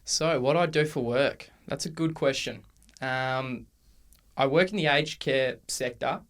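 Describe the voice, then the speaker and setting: Relaxed Australian man in his twenties. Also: mid-range voice, measured pace. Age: 20s. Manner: relaxed, hesitant with many ums and restarts. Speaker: podcast co-host. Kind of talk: casual conversational podcast. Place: university room.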